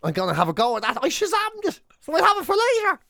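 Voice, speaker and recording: A man's voice: extremely high pitch, wildly swooping pitch, quick pace, pushed and bright, no echo, clean studio sound. An Irish man co-hosting a radio show, talking in casual, jokey banter. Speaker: radio show and podcast co-host.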